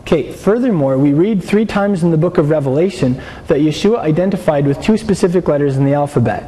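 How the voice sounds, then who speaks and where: A man with a slightly raised yet mellow voice teaches a class, explaining patiently and putting questions to his listeners. His voice carries the long echo of a hall, over a faint hum of room noise. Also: on the high side, animated, a little fast. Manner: explanatory, asks the class questions, frequent restarts. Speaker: Bible teacher.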